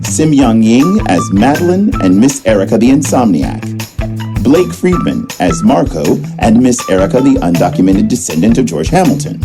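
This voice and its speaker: A man's voice: mid-range voice, sing-song, moderate pace. A man playing the narrator of a comedy audio drama, reading scripted narration and credits. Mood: presentational. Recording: music bed behind voice.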